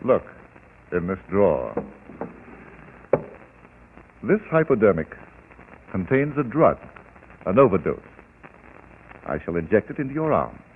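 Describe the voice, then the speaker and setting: An actor playing a scheming fake doctor in an old radio drama, suave and coldly polite. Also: male voice, medium pitch, a little hiss or hum. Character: scheming fake doctor, smooth then menacing villain. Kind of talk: 1940s radio acting. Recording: tinny old radio broadcast.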